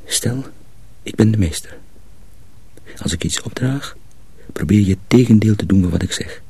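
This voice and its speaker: An actor playing a cautious, secretive young miller's apprentice in a radio drama, husky and often hushed, explaining things deliberately. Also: male voice, lowish voice. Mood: instructing. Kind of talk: Dutch radio drama acting.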